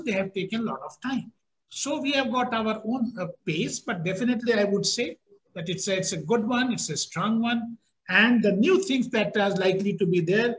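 A man's voice: sits high, a bit bright, poor audio. A man in his fifties with an Indian accent, speaking as a policy official on a panel video call, with emphatic, repetitive phrasing. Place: small office, indoors. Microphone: video call, computer mic at desk.

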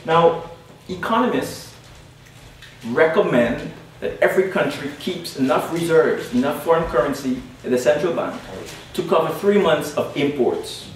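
A man's voice: fairly high pitch, expressive, measured pace. A young man from Guyana giving an academic panel presentation, emphatic and repeating key points with frequent "all right?" check-ins. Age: young adult. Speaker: panel speaker. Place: lecture room at a panel event. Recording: very echoey room audio from far off with faint noise.

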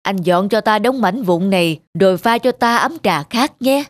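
A woman voicing a kindly, calm older male antique collector in a moral folk-tale cartoon, measured and gently instructive. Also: medium pitch, no room sound, close to an everyday voice. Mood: gracious, calm.

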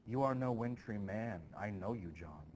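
A man's voice: fairly low pitch, lively intonation, moderate pace, darkish tone, a little hiss or hum, close to an everyday voice. A man voicing a lovesick, defiant young woman from a stage play, pleading dramatically. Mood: yearning.

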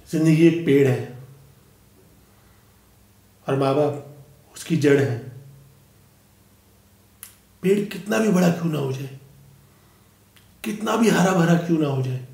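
Casting: male actor as dignified, hurt elderly father, mellow highish voice, solemn film-style speech. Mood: wounded, grave. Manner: solemn, measured, earnest, with long pauses. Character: aggrieved, dignified aging father, a humble author addressing an audience. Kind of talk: dramatic film monologue, delivered as a formal speech. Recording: echoey room.

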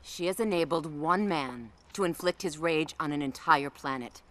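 A woman's voice: low-pitched, normal speed, lightly acted. An actress playing a proud, righteous Amazon warrior queen in a video game, speaking in commanding, formal oratory. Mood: solemn, resolute. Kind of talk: dramatic video game cutscene acting.

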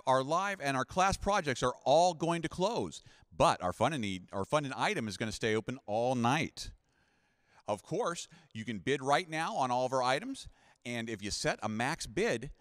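A man's voice: on the high side, fairly bright tone, dry recording, studio quality. A middle-aged American man hosting an event, making upbeat announcements in an emphatic, conversational way into a handheld microphone. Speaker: event host and auction emcee. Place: school hall stage. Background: music.

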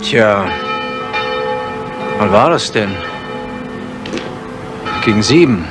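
A talkative villager testifying in a radio crime play. He rambles casually in a fairly low voice over prominent music. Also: expressive, drawn-out words. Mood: casual, recounting. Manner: rambling, hesitant recounting. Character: talkative, unbothered villager giving witness testimony. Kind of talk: 1970s German radio crime drama acting.

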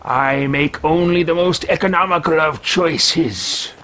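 A man's voice: a little high, unhurried, fairly bright tone. A man in his thirties roleplaying a haughty, pompous character over gameplay, talking into a headset at home. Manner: pompous, theatrical, sly asides. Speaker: let's play host voicing his character. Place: home room with bookshelves.

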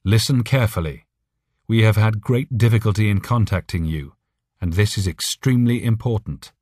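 A man acting urgent alien messenger, raised pitch, friendly, earnest, careful phrasing, audiobook character, dry sound. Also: normal speed, darkish tone, dry recording, close to an everyday voice.

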